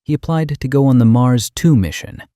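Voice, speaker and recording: An actor playing an AI house manager in a radio drama, coolly literal and slyly meddling, with formal, pedantic speech. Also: male voice, mid-range voice, moderate pace, mellow, no echo, clean studio sound, close to an everyday voice. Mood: matter-of-fact. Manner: formal, pedantic, matter-of-fact.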